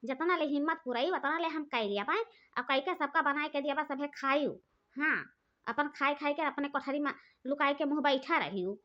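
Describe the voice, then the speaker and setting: A woman's voice: sits high, fairly quick, natural voice. A woman voicing a bossy, quarrelsome elder sister-in-law, with an Awadhi accent, scolding and taunting. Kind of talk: cartoon voice acting. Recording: dull lo-fi recording.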